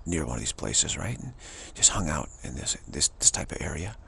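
A man giving a rambling, informal spiritual monologue in short, halting phrases that trail off. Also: rumbling low, big pitch swings, gravelly, a bit bright, slight background noise. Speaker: spiritual vlogger.

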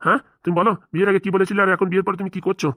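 A man's voice: sits high, level tone, rapid-fire, bright, forceful tone, no room sound. A man playing a stern, protective middle-aged father-in-law who lectures insistently in a comic cartoon. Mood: stern, indignant. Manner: insistent, lecturing, rhetorical questions. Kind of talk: comic cartoon drama dialogue.

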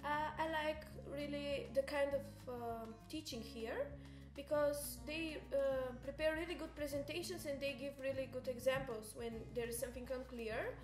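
A woman's voice: high voice, steady tone, normal speed. A woman, earnestly describing her studies, with many fillers. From Bulgaria. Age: 20s. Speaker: exchange student. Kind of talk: casual interview. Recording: echoey room with music underneath.

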